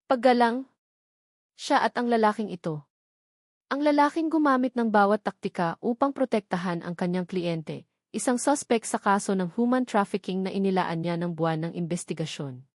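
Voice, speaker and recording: A woman narrates a scripted romantic drama. Her voice sits at a middle pitch. The recording is close and dry, with hardly any room in it. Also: a little mellow, no echo. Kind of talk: scripted dramatic audiobook narration of a love story.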